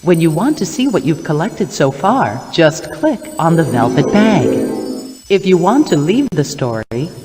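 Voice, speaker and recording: A woman playing a kindly fairy godmother, gently narrating a children's storybook game. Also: low-pitched, big pitch swings, unhurried, over music. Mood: kindly, inviting. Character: kindly female fairy godmother telling fairy tales.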